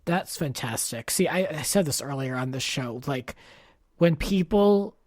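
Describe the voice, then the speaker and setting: A man with a fairly high-pitched voice hosts a casual podcast. He talks informally and encouragingly, and the sound is dry with hardly any room in it. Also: lively intonation, measured pace, a little mellow, no echo. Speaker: podcast host.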